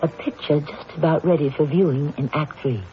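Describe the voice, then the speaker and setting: A man hosting a radio mystery, delivering scripted old-time narration in a measured, theatrical, philosophical manner. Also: a little high. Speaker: host, narrator. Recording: dull, hissy old radio recording.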